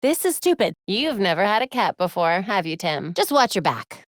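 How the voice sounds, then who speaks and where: A woman voicing a young crime-fighting superheroine in a video game, with an American accent and a wry, crisp, determined delivery. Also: medium pitch, very animated, moderate pace, dry recording, clean studio sound, natural voice. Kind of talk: scripted video game voice lines. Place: recording studio.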